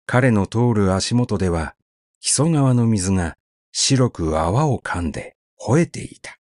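A man's voice: on the low side, lively intonation, measured pace, darkish tone, dry recording, clean studio sound. A man narrating a short story as a scripted audiobook reading, telling it expressively. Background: faint background music.

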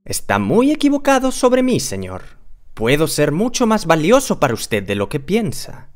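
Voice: medium pitch, huge pitch swings, moderate pace. An actor voicing a clever, confident male cat servant in a children's cartoon, persuasive and formally courteous. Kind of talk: children's cartoon character acting. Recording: light music behind the voice.

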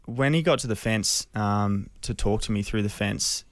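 An Australian man in his thirties telling a personal story in an interview, earnest, hesitant and measured, close on a studio mic. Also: lowish voice, dry recording. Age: 30s. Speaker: radio interview guest. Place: small radio studio. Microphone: close studio microphone.

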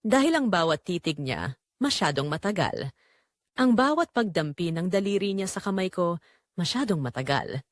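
A woman's voice: lowish voice, very animated, moderate pace, no room sound, clean studio sound. A woman playing a guilt-ridden young woman who confesses a forbidden love in an emotive, dramatic audio drama narration. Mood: tense longing.

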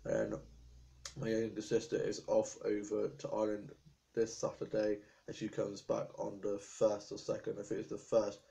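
A talkative young man from England chats into his webcam in a small room, listing everything he's been up to. His voice is low and gravelly with a dark, flat tone, and his throat is a little sore. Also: moderate pace. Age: young adult. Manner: chatty, rambling, stream of lists. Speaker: vlogger. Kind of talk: casual chat to camera. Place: small indoor room with appliances. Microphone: webcam at desk.

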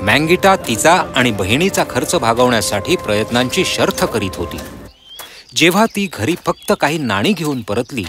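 A man's voice: mid-range voice, very animated, moderate pace, music in the background. A man narrating a children's fairy tale in a scripted storybook style.

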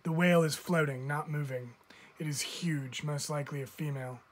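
A man reading a children's picture book aloud like a teacher, with expressive emphasis. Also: a little high, moderate pace. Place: indoors, book filmed from above.

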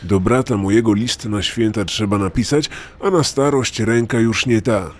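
An actor playing a menacing ageing man dictating a letter, with a husky voice, in a true-crime dramatization. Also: on the low side, normal speed, natural voice. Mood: calm, weary.

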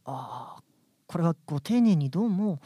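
A man's voice: fairly high pitch, animated, normal speed, warm tone, husky, no echo, studio quality. A man playing a polite, easily flustered young man as the bewildered straight man in a radio comedy drama. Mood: puzzled, polite.

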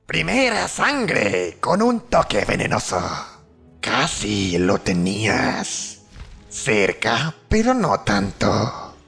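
A man with a Latin American Spanish accent voicing a menacing, malicious venomous winged serpent from a video game, with a raspy voice and a slow, sinister, dramatic delivery. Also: fairly low pitch, huge pitch swings, a bit bright, faint music behind, exaggerated voice. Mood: mocking. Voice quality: raspy, gravelly. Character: menacing venomous flying serpent-dragon, male, gloating and malicious. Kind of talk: video game character voice lines, fandub.

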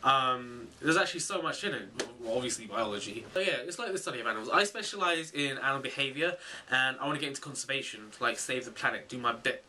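Bright, chatty young man vlogging. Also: medium pitch, quick pace, recorded from a distance, slight background noise. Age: 20s. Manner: chatty and animated, many fillers and self-corrections. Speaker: vlogger, zoology student. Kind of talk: casual vlog chat to camera. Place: small bedroom, indoors.

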